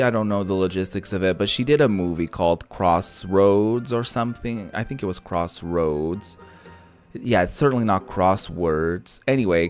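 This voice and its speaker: Smooth, low-voiced man, chatty. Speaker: gaming streamer. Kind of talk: casual chatty game commentary.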